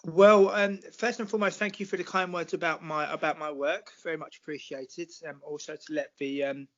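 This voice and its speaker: A British man in his forties, a podcast guest talking football at length in a measured, explanatory way with many fillers. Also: a little high, fairly even intonation, a bit bright, muffled recording. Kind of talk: casual podcast chat.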